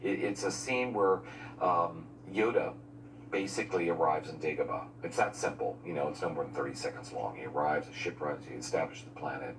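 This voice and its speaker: Deep voiced guy chatting about making a movie, heartfelt, roomy sound with hum. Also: a little fast. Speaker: film crew member. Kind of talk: informal behind-the-scenes reminiscence. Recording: noisy, echoey, distant mic with hum.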